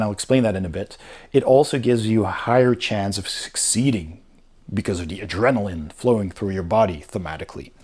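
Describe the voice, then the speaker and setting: A man's voice: mid-range voice, a little mellow. A man giving a casual, unscripted review commentary, explaining things step by step as he goes through a rulebook. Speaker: host of a board game review channel.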